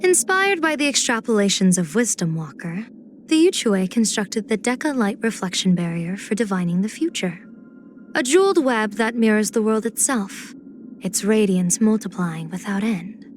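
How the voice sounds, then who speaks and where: Lady doing a breezy teasing general fortune teller for a game, mid pitch, poised and theatrical, some noise behind it. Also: lively intonation, normal speed, close to an everyday voice. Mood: wry, confident. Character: playful, confident female general and diviner, teasing, breezy. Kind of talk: video game character voice lines.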